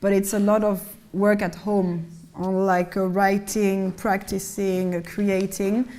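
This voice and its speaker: Low-voiced woman artist talking casually. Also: slow pace. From Mali and France. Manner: low voice, relaxed, laughs often, restarts words. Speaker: artist speaking at a panel. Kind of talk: casual conversational talk.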